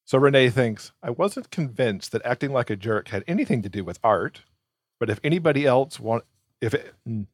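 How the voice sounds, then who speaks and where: A man's voice: mid-range voice, expressive, normal speed, slightly rough, no echo, clean studio sound. A man guesting on a podcast, chatting casually and expressively about a book.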